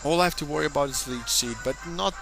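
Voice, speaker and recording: A young man commentating a video game battle, excitable and jokey, speaking to his audience as ladies and gentlemen. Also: on the high side, quick pace, gravelly. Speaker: gaming commentator. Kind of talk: Let's Play battle commentary. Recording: music playing behind the voice.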